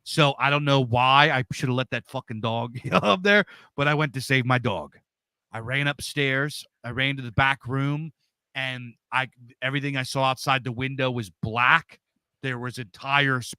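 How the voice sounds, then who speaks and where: Loud guy, 40s, big voice, dry close sound, just chatting as a guest. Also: medium pitch, steady tone, normal speed, clear, resonant tone, no echo. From Pennsylvania, USA. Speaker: interview guest. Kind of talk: casual storytelling.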